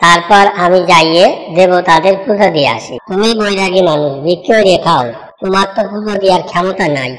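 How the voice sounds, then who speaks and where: A stern cartoon village priest, acted by a man, haughty and admonishing. His voice sits a little high, and rings in long reverb. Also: a little fast, a crowd in the background, natural voice. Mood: condescending. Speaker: voice actor in a devotional cartoon. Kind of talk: scolding cartoon dialogue.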